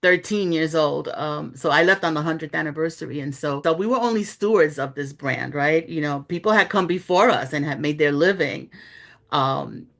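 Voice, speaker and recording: A woman's voice: low-pitched, normal speed, gravelly, fairly bright tone. A middle-aged woman from New York City, speaking as an author in a reflective interview, telling her story candidly with many "you know" asides. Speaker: author, journalist and guest.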